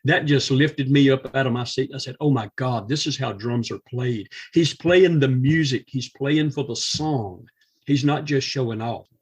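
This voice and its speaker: A man with a husky, slightly rough voice at an average male pitch. He is a drummer guesting on a podcast and talks about music casually, leaning hard on his words with real enthusiasm. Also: moderate pace. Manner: emphatic, enthusiastic.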